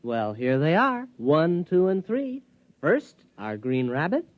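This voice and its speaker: A whimsical, boyish hunter in a vintage radio comedy. He is high-voiced and unhurried, earnest and naive, on a dull old recording. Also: wildly swooping pitch, dry recording, exaggerated voice. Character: excitable, naive, whimsical man on a hunting vacation. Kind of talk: 1950s radio comedy acting.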